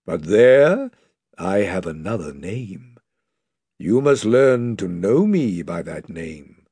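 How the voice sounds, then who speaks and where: Brief: a man doing a majestic male lion, low and hushed, slow, grave and tender, breathy edge. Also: sing-song, no echo, heavily acted. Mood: gentle, grave. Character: huge wise gentle male lion.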